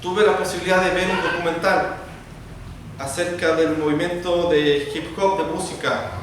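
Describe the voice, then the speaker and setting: High-voiced pastor echoing far off in a church. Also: male voice, flat delivery, moderate pace. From Chile. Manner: preaching with repeated parallel phrases, addressing the congregation directly. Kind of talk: expository sermon. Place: church sanctuary. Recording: very echoey church, voice from far off, faint noise.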